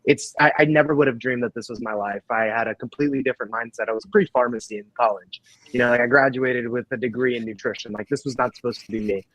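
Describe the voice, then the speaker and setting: A man in his twenties whose first language is Russian talks casually at a middle pitch. His manner is earnest and grateful, and the recording is close and dry. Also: fairly even intonation, fast talker, fairly bright tone, no room sound, rough recording. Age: 20s. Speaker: real estate investor on a panel. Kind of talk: casual chat. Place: indoors in a rented apartment.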